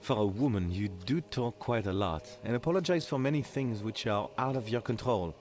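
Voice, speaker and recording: A man's voice: lowish voice, normal speed, a little hiss or hum, close to an everyday voice. A man playing a stern, pious young medieval archer in an audiobook drama, speaking formally and without emotion. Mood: stoic, blunt. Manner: solemn, flat, formal. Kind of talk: audiobook character acting.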